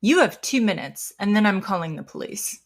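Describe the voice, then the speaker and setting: A woman's voice: medium pitch, very animated, brisk. A woman playing a protective, loving but stern mother in a naturalistic audio drama. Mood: angry.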